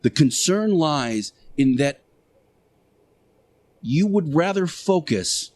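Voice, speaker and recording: A man hosting a radio show, preaching in a deliberate monologue with emphatic short phrases, pauses and repetition. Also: on the high side, expressive, darkish tone. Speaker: radio show host playing Jesus in interactive radio theater.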